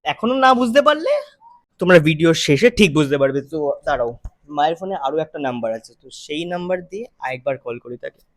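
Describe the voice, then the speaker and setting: A man hosting a prank video, speaking in a quiet, casual aside to his viewers. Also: on the high side, lively intonation, a little fast, dry recording.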